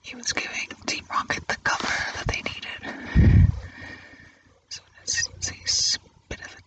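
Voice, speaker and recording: A person whispering casual ASMR commentary in an unhurried, musing, informal way while drawing, in a breathy unvoiced voice. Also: far from the mic. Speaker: host of a drawing ASMR channel. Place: indoors at a drawing easel.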